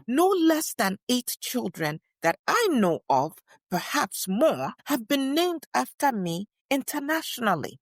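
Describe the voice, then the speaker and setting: A woman playing a dignified, proud elderly woman writer and scholar, delivering a deliberate first-person monologue with emphatic repetition. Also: a little high, no room sound, studio quality, exaggerated voice. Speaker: co-host in character. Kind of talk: first-person dramatic monologue, read aloud.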